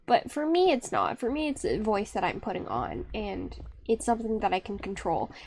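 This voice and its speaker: A woman's voice: wildly swooping pitch, moderate pace, a little mellow, slight background noise. A young woman streamer chatting casually on a live stream, rambling and restarting her sentences, often breaking into laughter. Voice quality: high-pitched.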